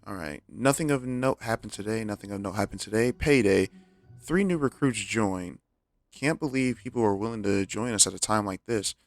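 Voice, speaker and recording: A man's voice: lowish voice, normal speed, no room sound. A man streaming a video game, making offhand, casual commentary and muttering to himself. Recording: game music behind.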